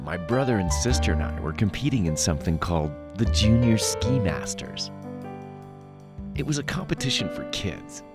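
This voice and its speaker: A middle-aged American man with a low voice narrates a personal documentary. He tells his story reflectively, leaning on key phrases with deliberate emphasis. Loud guitar music plays under his voice. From United States. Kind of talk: scripted documentary narration, reminiscing.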